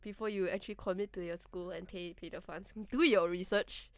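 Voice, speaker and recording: A woman's voice: lowish voice, fairly even intonation, brisk, dry recording, clean studio sound. A young woman co-hosting a student radio show, casual chat, laughing often as she steers the talk. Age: young adult.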